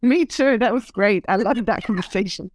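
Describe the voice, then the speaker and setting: A woman's voice: mid-range voice, normal speed, no room sound, studio quality. A woman from Serbia, a native Serbian speaker, chatting as a podcast guest in a friendly, hesitant way with ums. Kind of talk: casual interview chat.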